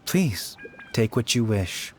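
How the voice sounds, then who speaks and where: An actor playing an elderly, cryptic shrine keeper in a video game, serene and gently wry, with flowery formal speech. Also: male voice, mid-range voice, big pitch swings, slow pace, a little hiss or hum. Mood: serene, resigned. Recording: game music behind the voice. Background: game music.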